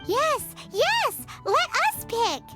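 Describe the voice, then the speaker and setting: A woman's voice: very high-pitched, very animated, very slow, loud music behind the voice, full character voice. A woman voicing a tiny, cheerful, childlike fairy companion in a video game, eager and chirpy. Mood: excited. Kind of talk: video game character acting.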